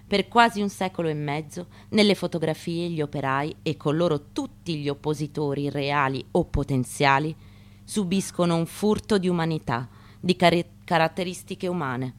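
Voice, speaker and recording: Lower-voiced woman giving a dramatic reading. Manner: measured, emphatic, pausing between short phrases. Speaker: actress giving a staged reading.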